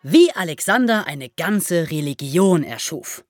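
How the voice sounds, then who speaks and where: A woman's voice: fairly low pitch, very animated, a little slow, over loud music. A woman co-hosting a podcast, moving between conversational remarks and explanatory narration. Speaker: podcast co-host.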